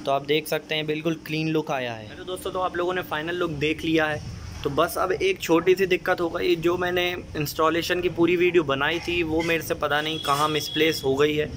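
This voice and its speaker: A man's voice: on the high side, steady tone, fairly quick, background noise. A young man casually explaining a DIY car wiring job step by step, talking to viewers like friends while filming on a phone beside his car. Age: young adult. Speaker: DIY car modification vlogger. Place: outdoors beside a car, at the engine bay. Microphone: handheld phone camera.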